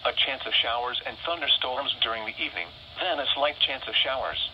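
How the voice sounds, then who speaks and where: A man's voice: mid-range voice, lively intonation, brisk. A man reading a scripted weather forecast in formal, clipped phrases over a radio. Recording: muffled weather radio, loud room noise.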